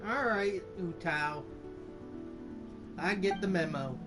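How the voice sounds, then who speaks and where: A gaming channel host giving casual gameplay commentary, reacting aloud in halting phrases. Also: medium pitch. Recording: game music under the voice.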